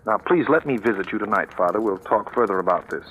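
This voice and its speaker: Crisp, bright, pompous society columnist. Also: male voice, lowish voice, animated, quick pace. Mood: polite, concerned. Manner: urbane, sardonic, pompous. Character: suave, witty, self-important male newspaper gossip columnist. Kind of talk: 1940s radio acting. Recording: dull old radio broadcast, music behind.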